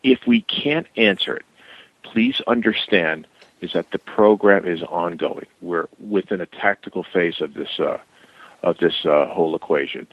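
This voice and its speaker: A man with a very deep voice, speaking carefully and pausing on fillers as a guest. His voice comes through a dull, dry, muffled line. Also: big pitch swings, dry recording. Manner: measured, hesitant with many fillers. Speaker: interview guest. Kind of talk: casual phone interview.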